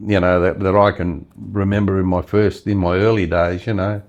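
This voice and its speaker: An Australian man in his seventies with a fairly low voice tells his life stories unhurriedly. He wanders through his sentences, full of "you know" and "uh", restarting as he goes. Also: level tone, moderate pace, dull audio. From Western Australia. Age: 70s. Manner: rambling, many fillers and restarts. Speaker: interview guest. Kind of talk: casual storytelling interview.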